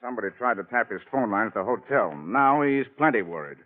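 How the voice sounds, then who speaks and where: A man playing a measured, cautious bureau chief in a 1940s radio mystery serial. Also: fairly low pitch, animated, close to an everyday voice. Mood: brisk. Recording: dull band-limited 1940s radio recording.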